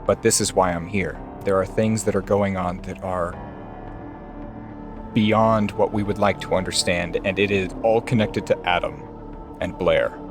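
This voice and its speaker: Man playing a low-voiced, earnest investigator. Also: steady tone, unhurried. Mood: earnest, grave. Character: earnest, weary male private investigator pleading his case. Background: music behind the voice.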